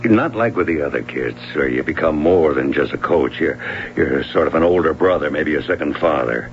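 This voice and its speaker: An evasive swimming coach. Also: male voice, a bass voice, animated, normal speed. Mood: guarded. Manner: casual, evasive, chuckling. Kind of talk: 1970s radio drama acting. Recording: muffled old radio broadcast with hum.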